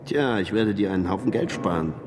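An actor playing a smooth-talking, cheeky wheeler-dealer with pushy sales patter in a radio play. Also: male voice, lowish voice, animated, fairly quick, long reverb tail, over loud music, natural voice. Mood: cheeky, persuasive. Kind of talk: radio drama acting.

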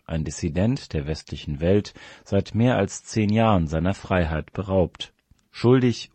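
A man with a low voice reads a scripted political commentary. His tone is husky and the recording is dry, with no room around the words. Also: darkish tone, no echo. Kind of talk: scripted political essay read aloud.

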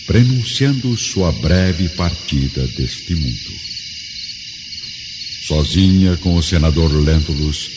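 A man's voice: deep voice, background noise. A man with a Brazilian accent giving solemn, dramatic scripted narration for a radio drama. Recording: muffled, long reverb, music behind.

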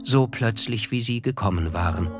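An actor playing an observant, reflective narrator who recalls his memories in measured literary prose for a radio play. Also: lowish voice, natural voice. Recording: music behind the voice.

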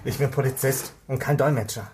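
A man's voice: mid-range voice, fairly even intonation, brisk, a little mellow, slight background noise, close to an everyday voice. An actor playing a frustrated new policeman in a naturalistic radio drama, eager to prove himself but sullenly protesting.

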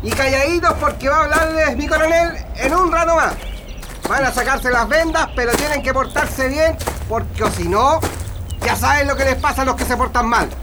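An actor playing a mocking, menacing Chilean prison guard, barking orders in a radio drama. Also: male voice, extremely high pitch, normal speed, noisy background. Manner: barking orders with sneering diminutives.

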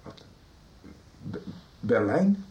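A man's voice: extremely slow, darkish tone, muffled recording. An actor playing a hesitant, stammering man who is looking for a missing young friend, in a 1990s radio drama. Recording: roomy radio drama studio.

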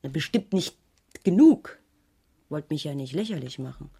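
An actress in a German radio crime play playing an uneasy married schoolteacher who gives a hesitant, detailed account to police. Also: female voice, low voice, lively intonation, moderate pace, creaky, mellow, husky, heavily acted. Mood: defensive, flustered. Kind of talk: 1980s German radio drama dialogue.

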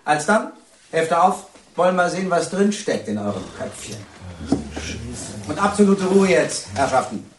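An actor playing a strict, ironic schoolmaster at a youth detention centre in a radio drama, declamatory and commanding. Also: male voice, sits high, expressive, measured pace, slight background noise. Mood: pompous, commanding. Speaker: teacher. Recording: roomy, distant from the mic.

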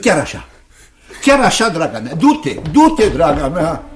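An actor in a radio drama playing a kindly, fussy older gentleman, exclaiming, stammering and repeating himself. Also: a little high, wildly swooping pitch, faint music behind. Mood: soothing. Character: kindly, flustered older gentleman, protective guardian.

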